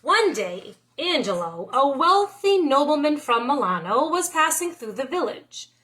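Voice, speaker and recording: A woman with a high voice reads a children's story aloud. Her delivery is expressive and very animated, with pitch rising and falling widely, in the style of a schoolteacher. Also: measured pace, rough recording. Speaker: teacher reading a picture book aloud.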